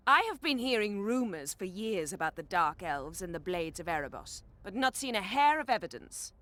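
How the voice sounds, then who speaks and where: A woman playing a composed, authoritative official at a peace council in a fantasy video game, measured and formal. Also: mid-range voice, very animated, fairly bright tone. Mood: wary.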